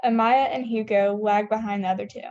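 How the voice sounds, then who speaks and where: A woman's voice: mid-range voice, steady tone, fairly quick, a little mellow, muffled recording. A young woman reading stage directions as scripted narration at a table read.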